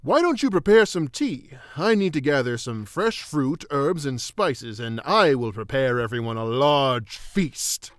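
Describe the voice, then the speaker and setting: Man playing a measured druid royal father. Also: medium pitch, very animated, no echo, clean studio sound. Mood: friendly, brisk. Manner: formal, measured phrasing. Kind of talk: fantasy audio drama acting.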